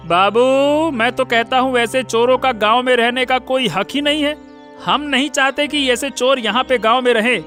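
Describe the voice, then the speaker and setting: A man's voice: very high-pitched, steady tone, moderate pace, sharp tone, soft background music. A man voicing a hostile, bullying village accuser in a cartoon, harsh and scolding. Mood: vindictive. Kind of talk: Hindi moral-story cartoon voice acting.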